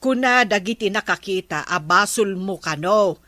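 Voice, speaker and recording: A woman's voice: medium pitch, lively intonation, moderate pace, pushed and bright, no room sound. A woman with an Ilocano accent playing a concerned, scolding villager in a comedy drama. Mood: urging.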